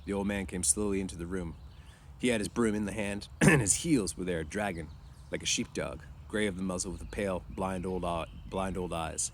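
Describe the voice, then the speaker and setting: Low-pitched man reading a story aloud. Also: fairly quick, a little hiss or hum. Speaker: reader. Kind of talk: informal storybook read-aloud narration.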